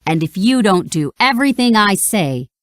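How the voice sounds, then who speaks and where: A woman's voice: mid-range voice, big pitch swings, moderate pace, no echo, close to an everyday voice. A big, bossy cartoon cat captain throws his weight around in every line, as a woman voices him. He blusters and trips over his words when cornered. The storybook recording is close and dry. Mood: menacing. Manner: blustering, stammers when caught. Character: bullying scheming male cat captain villain.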